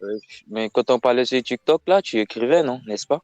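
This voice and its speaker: A man's voice: medium pitch, moderate pace, darkish tone, no room sound, muffled recording, soft background music. A man scamming over the phone, answering in short, repetitive replies. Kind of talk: phone call.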